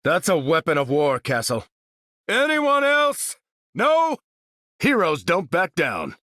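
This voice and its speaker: An actor playing a bold, determined battlefield hero in a video game, rallying soldiers in a clipped military delivery. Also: male voice, fairly high pitch, huge pitch swings, slow, bright, forceful tone, no room sound, clean studio sound, heavily acted. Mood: urgent, rallying. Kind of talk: video game character voice lines.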